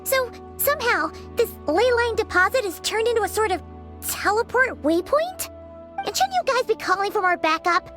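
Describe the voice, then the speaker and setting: A woman voicing a tiny, childlike fairy companion in a video game, excitable, blunt and chatty, full of exclamations. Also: extremely high pitch, normal speed, faint music behind, full character voice. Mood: curious. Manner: exclaiming, chatty, refers to herself by name.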